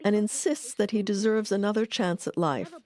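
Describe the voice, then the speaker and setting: A woman reading scripted documentary narration in a measured, articulate manner. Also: mid-range voice, a little mellow.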